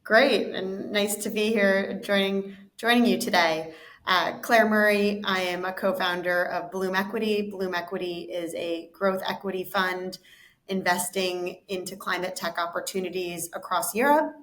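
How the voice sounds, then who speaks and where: A woman with an American accent, a fund co-founder answering interview questions in a measured, explanatory way, often repeating words as she goes. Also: mid-range voice, fairly even intonation, a bit bright.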